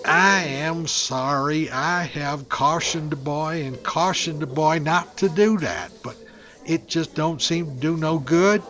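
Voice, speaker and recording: A man playing an apologetic, slow-witted father with a folksy drawl in a radio comedy sketch. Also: fairly high pitch, fairly bright tone. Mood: sorry, resigned.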